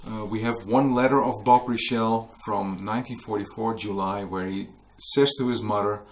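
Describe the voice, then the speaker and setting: A Dutch-accented man speaks in a lowish voice, recounting a story to a gathered audience in a museum. He fills his pauses with hesitations and restarts while reaching for English words. A faint background hiss sits under the recording. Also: steady tone, rough recording. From Netherlands. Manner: hesitant, searching for English words, many fillers. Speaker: author giving a book talk. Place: museum hall with a live audience.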